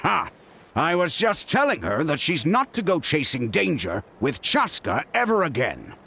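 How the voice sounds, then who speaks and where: Fussy, very animated caring uncle. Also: male voice, a little high, moderate pace, creaky, a little hiss or hum, full character voice. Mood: playful. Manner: scolding, expressive. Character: fussy, caring adult male doctor, exasperated but kind. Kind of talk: video game voice acting.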